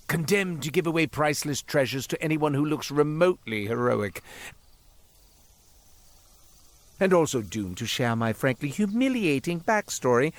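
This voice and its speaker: Casting: a cursed game-world merchant, florid and wry, melodramatic, very animated pitch. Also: male voice. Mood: melodramatic lament. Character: theatrical, self-pitying cursed merchant, former quartermaster. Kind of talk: scripted video game character monologue.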